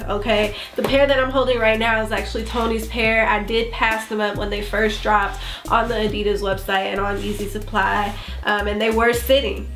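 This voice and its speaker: A woman's voice: a little high, fairly bright tone. A woman with a congested, nasal voice from bronchitis, chatting casually as a sneaker vlogger with many fillers. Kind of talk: casual vlog review. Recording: echoey room with faint music.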